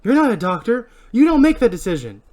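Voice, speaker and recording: An upset, insistent young woman in an amateur script reading as a reader puts her on. The delivery is hammy, lifted in pitch and full of big swings. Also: huge pitch swings, fast talker.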